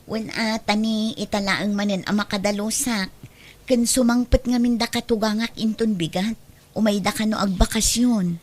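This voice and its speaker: A woman's voice: mid-range voice, steady tone, moderate pace, lightly acted. An actress playing a wary neighbor woman who nags about debts, in an Ilocano radio drama.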